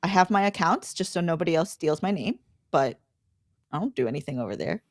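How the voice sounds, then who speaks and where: A woman's voice: sits low, expressive, a little fast, no echo, clean studio sound. A woman content creator chatting informally and conversationally as a livestream guest. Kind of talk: casual livestream chat.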